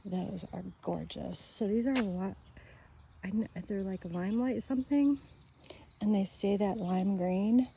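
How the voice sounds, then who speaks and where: A woman's voice: medium pitch, measured pace, a little hiss or hum. Chatty older woman on a walk. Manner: chatty, gushing, softly spoken with breathy whispery stretches. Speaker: vlogger. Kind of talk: casual walking vlog. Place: outdoors on a neighborhood street.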